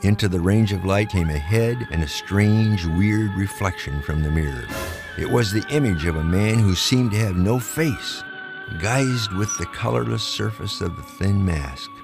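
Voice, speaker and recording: A man's voice: lowish voice, unhurried, creaky. A man narrating pulp fiction as a dramatic story reading. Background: music.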